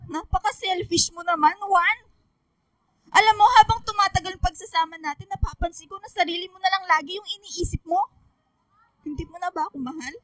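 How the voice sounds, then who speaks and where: A woman's voice: very high voice, brisk, a bit bright, dry recording, poor audio. A woman acting an emotional young mother in a radio drama, pleading and scolding. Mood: hurt, upset. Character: young pregnant girlfriend, later a nagging, caring mother.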